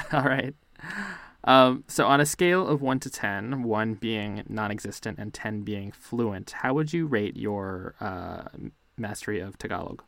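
A man hosting a podcast interview, thinking out loud as he phrases his questions. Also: on the low side, a little slow, vocal fry, no room sound. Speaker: podcast host. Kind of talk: relaxed interviewing.

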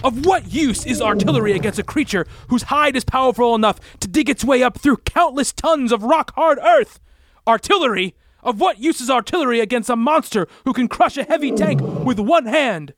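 High-voiced man narrating a radio play. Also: animated, normal speed. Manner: theatrical, portentous.

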